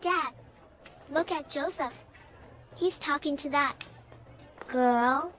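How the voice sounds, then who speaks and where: A woman's voice: high-pitched, slow pace, pitched above the performer's own voice. A woman voicing a devious little boy who whines and tattles. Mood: excited. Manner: shouty tattletale. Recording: music behind.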